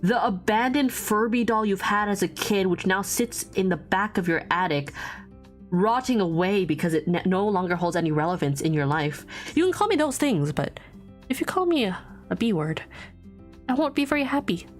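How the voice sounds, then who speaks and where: Young woman chatting on stream while drawing, really animated, rambly and giggly, faint music in the back. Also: mid-range voice, normal speed. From Vietnamese family, born outside Vietnam. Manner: chatty and giggly, lots of restarts and fillers.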